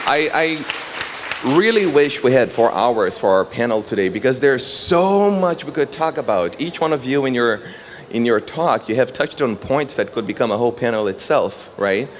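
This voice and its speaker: Man, thirties, panel moderator, eager and earnest, mid-range voice, soft hum behind. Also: medium pitch, animated, normal speed, a faint crowd. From Brazil. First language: Brazilian Portuguese. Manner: earnest, enthusiastic, addresses the audience directly. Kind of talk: public panel talk. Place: panel on stage before an audience.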